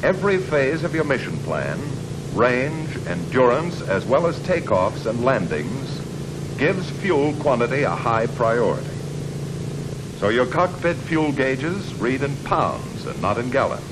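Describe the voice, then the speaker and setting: A man's voice: mid-range voice, big pitch swings, unhurried. An American man reading scripted narration for a 1950s military training film. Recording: noisy 1950s film soundtrack.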